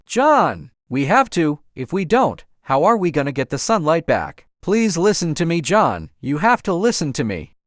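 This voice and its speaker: A man's voice: on the high side, very animated, normal speed, no room sound, clean studio sound. A man playing an earnest, worried male survivor in an amateur horror drama, urgent in delivery. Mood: urgent pleading.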